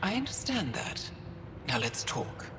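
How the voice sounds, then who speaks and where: A calm, persuasive alien scientist. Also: female voice, deep voice. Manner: measured, persuasive. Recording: reverberant game audio with hiss.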